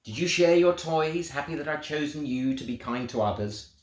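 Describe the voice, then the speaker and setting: A man's voice: fairly high pitch, animated, lightly acted. Man voicing tender God in a storybook, echoey. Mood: tender questioning. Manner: tender, slow, questioning. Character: God, a tender, proud questioner of little creatures. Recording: roomy, echoing sound.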